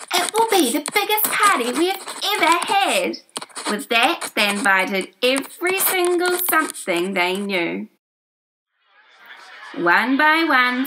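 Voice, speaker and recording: A woman reading a children's picture book aloud as a storyteller, in an expressive, theatrical way with drawn-out words. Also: on the high side, background noise. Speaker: story reader.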